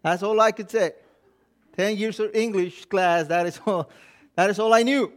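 A middle-aged Mexican-accented man preaches with a notably high voice. He tells stories animatedly, acting out the quoted thoughts of himself and others and often restarting his sentences, as a non-native speaker of English. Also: normal speed. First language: Spanish. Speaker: pastor. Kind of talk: sermon.

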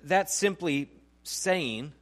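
A man's voice: a little high, animated, very slow. A man in his forties preaching a sermon in a church auditorium, talking in a conversational, joking way and quizzing the congregation. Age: 40s. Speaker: pastor.

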